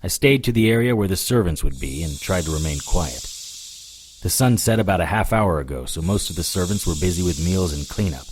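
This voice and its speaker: A man's voice: deep voice, darkish tone, slight background noise. A man playing a wry, hard-boiled hero, narrating a scripted audio drama in the first person with dry sarcasm. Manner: dry, sardonic. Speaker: narrator and lead character.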